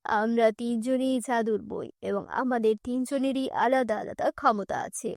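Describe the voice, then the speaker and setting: A woman voicing a calm, mysterious magic book in a children's fairy-tale cartoon, solemn and reassuring. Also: fairly high pitch, unhurried, creaky, no room sound, clean studio sound.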